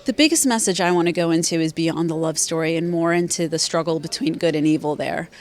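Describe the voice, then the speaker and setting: A woman in her thirties, a former journalist and author, answering interview questions in a measured, thoughtful way with frequent fillers. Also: low-pitched, a little mellow. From Afghanistan, raised in Virginia, USA.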